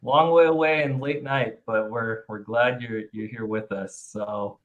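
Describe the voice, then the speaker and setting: A man hosts a webinar over a video call with a medium-pitched voice. He sounds upbeat and chatty, and keeps a friendly, rambling flow. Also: fairly even intonation, a little slow, a bit bright, muffled recording. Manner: upbeat, chatty, many fillers and restarts. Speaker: webinar host. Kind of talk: webinar presentation.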